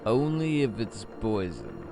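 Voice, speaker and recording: A male actor as a cynical, weary private eye from a noir radio play. He speaks slowly and deliberately in a deep, gravelly voice that still swings widely in pitch. His manner is terse and brooding. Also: lively intonation. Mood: cold, defiant. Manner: brooding, hardboiled, deliberate delivery. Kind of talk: noir audio drama narration. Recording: music under the voice.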